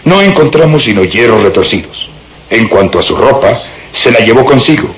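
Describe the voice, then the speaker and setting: Un actor interpretando a un inspector de policía insistente y triunfal, de hablar declamatorio, en una radionovela. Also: male voice, mid-range voice, lively intonation, normal speed, slight background noise, natural voice, rough recording. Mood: confident, triumphant. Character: insistent, triumphant male police inspector, sure of himself. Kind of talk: radio drama acting.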